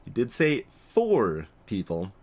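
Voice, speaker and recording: A man voicing an earnest, apologetic young game character who explains things gravely in a measured, hesitant way. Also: on the low side, huge pitch swings, darkish tone, a little hiss or hum, full character voice. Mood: grave, explanatory. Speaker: let's play voice-over reader. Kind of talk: acted reading of game dialogue.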